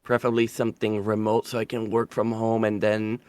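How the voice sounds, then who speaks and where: A man's voice: fairly low pitch, monotone, fairly quick, darkish tone, clear, resonant tone, no room sound, studio quality. A young man from Puerto Rico talking casually as an interview guest, rambling and self-deprecating, with the odd swear word. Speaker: streamer guest.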